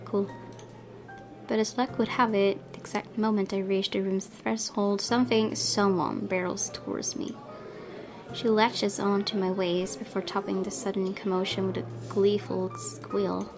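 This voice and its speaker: Woman streamer reading a story game out loud, mid voice, expressive, chatty asides, game music clearly behind her. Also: mid-range voice, unhurried. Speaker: let's play streamer. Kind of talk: expressive story narration with casual commentary.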